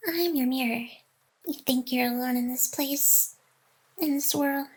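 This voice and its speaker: A very high, girlish female AI companion, acted by a woman in an intimate ASMR roleplay. She teases and feigns aloofness, speaking straight to the listener with sighs, while her smitten, protective side shows through. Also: unhurried, darkish tone, breathy edge. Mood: earnest, protective. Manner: teasing, mock-aloof, sighing, addressing the listener directly. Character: playful, teasing female AI hacker girl, secretly smitten and protective. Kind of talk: ASMR roleplay, intimate one-sided scripted drama.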